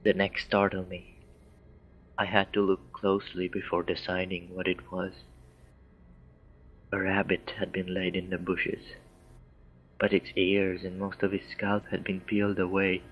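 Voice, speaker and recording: Slow, low-voiced man reading flatly. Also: a little hiss or hum, low-quality recording. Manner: deliberate, with occasional stumbles. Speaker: story reader. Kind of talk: scary story narration read aloud. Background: faint background music.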